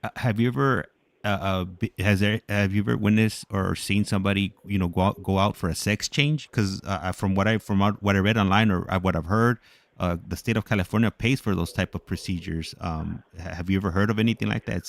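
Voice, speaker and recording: An American man hosting a casual interview, phrasing his questions in a halting, hesitant way. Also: deep voice, steady tone, creaky, no room sound. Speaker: host interviewing a caller.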